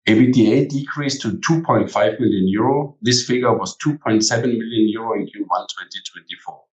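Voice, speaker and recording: Echoey, distant low-voiced man presenting. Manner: formal, reading from prepared text. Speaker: company executive presenter. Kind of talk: scripted earnings presentation. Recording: echoey room, distant from mic.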